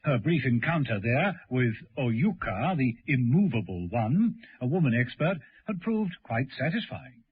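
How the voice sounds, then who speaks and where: A man's voice: mid-range voice, sing-song, a little slow, few pauses, breathy edge. A man giving dramatic scripted narration for a radio serial. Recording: dull old radio recording.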